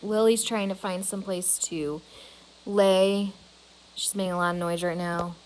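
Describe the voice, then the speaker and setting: A woman reading a children's novel aloud as a storyteller, in a measured and deliberate way. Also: mid-range voice, fairly even intonation, slight background noise, poor audio.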